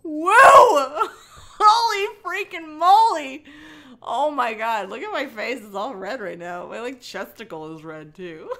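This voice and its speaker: A woman with a high, bright voice reads aloud on a livestream, breaking off for mocking asides. She is giggly and sarcastic, swears freely, and takes an unhurried pace. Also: expressive, gravelly. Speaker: livestream host reading a book aloud. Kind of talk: cold reading with mocking asides.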